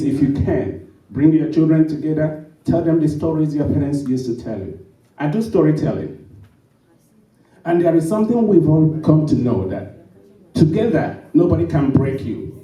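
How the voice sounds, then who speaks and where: A man, a storyteller, giving a halting, then emphatic, speech to an audience. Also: fairly high pitch, mellow, highs cut off. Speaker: storyteller speaking at a summit. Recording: echoey hall, mic from a distance.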